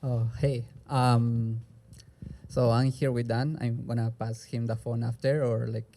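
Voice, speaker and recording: Young man, Peruvian Spanish accent, casual, rambling, many fillers, medium pitch. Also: flat delivery, unhurried, dark tone, a little hiss or hum. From Peru. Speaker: rally speaker. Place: outdoor street rally.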